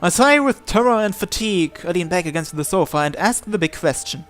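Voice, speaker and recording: A man reading a visual novel aloud as dramatic first-person narration, in a theatrical, deliberate way with short phrases. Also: high-pitched, animated, fairly bright tone.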